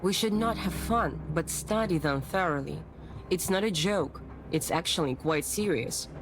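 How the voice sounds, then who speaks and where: A mysterious fortune-teller in a detective visual novel. She is self-assured, coaxing and teasing, with a lowish female voice over prominent music. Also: animated, measured pace. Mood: serious, probing.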